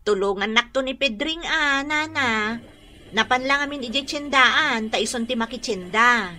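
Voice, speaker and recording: Bright, high-pitched Ilocano woman, emphatic and expressive. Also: a little slow, a little hiss or hum. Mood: friendly, practical. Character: brisk, firm married woman running a laundry shop. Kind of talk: Ilocano radio drama acting.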